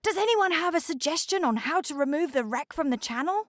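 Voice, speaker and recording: A high-pitched male hydrocopter character from a children's storybook, acted out by a woman reader. He is a drowsy, easily startled vehicle with an eager helpful streak. The sound is close and dry. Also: expressive, brisk, no echo. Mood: shaken, relieved.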